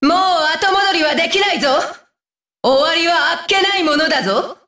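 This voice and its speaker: A high, dry-recorded young warrior woman from an anime fighting game. She is stern and scornful, with widely swinging pitch and a deliberate, commanding delivery. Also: animated, bright, forceful tone, no room sound, heavily acted. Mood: fierce, threatening. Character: fierce, disdainful young shinobi warrior woman, dutiful and stern. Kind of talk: Japanese anime video game battle voice lines.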